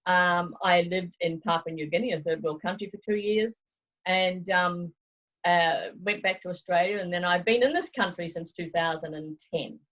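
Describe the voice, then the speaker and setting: A woman's voice: on the low side, normal speed. Chatty New woman on a lo-fi video call. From New Zealand. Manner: lively, laughs often, digressive. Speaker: travel agency owner. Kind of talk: remote interview, chatty. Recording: dull lo-fi video call audio.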